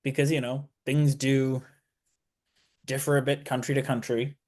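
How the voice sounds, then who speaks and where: A man's voice: mid-range voice, flat delivery, a little slow, darkish tone. A young man, a student guest on a radio interview, talking casually with lots of fillers and restarts. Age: young adult. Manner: rambling, many fillers and restarts. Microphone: studio mic.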